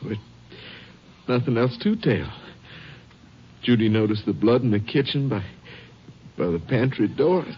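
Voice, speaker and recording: An actor playing a young married father in a 1950s radio drama, earnest and lively. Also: medium pitch, measured pace, long pauses, natural voice. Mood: shaken. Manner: earnest, rising to anguished shouts. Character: young married father, shocked grieving son. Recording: muffled 1950s radio recording with hiss.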